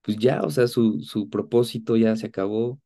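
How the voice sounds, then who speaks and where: A man of about thirty with a Mexican accent, giving an earnest, conversational personal testimony in a halting way over a video call. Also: on the low side, fairly even intonation, warm tone. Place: indoor room at home, on a video call.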